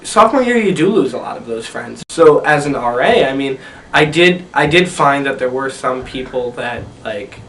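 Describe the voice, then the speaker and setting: A man in his twenties from upstate New York, answering interview questions softly and with hesitations. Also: mid-range voice, animated, moderate pace. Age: 20s. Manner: soft-spoken, hesitant, trailing off. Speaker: resident assistant. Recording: distant mic, music underneath.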